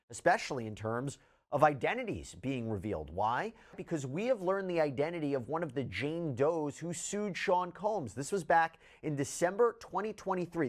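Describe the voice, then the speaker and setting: A man presenting legal news updates as a commentator, stressing key words and posing rhetorical questions. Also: a little high. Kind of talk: news explainer narration, presenting case updates.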